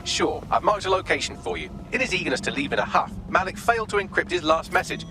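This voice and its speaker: A man's voice: sits high, quick pace, creaky, noisy background. An actor playing a witty, dry male AI assistant, in a video game. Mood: wry. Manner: dry, sardonic.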